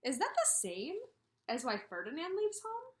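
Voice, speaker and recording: A woman in her thirties teaching and reading aloud to kindergarteners, expressive, with questions and emphatic pauses. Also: high voice. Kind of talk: instructional read-aloud for young children. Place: indoors, room with a brick wall.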